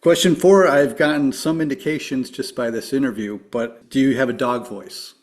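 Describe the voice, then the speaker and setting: A man's voice: medium pitch, moderate pace. A man hosting a podcast, reading his numbered questions and offering brief affirmations. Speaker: podcast host. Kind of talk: interview questions.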